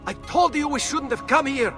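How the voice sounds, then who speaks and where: An actor voicing a cowardly, whining guard in a video game. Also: male voice, very high-pitched, moderate pace, a bit bright, faint music behind. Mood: panicked. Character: frightened, whining Italian guard, cowardly and jumpy. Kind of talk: video game character acting.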